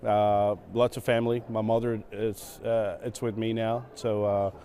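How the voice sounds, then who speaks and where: Mellow-voiced Venezuelan man, relaxed interviewee. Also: lowish voice, measured pace. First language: Spanish. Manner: relaxed, with many fillers and repeated words. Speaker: guest, operations executive. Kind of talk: conference stage interview. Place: conference stage.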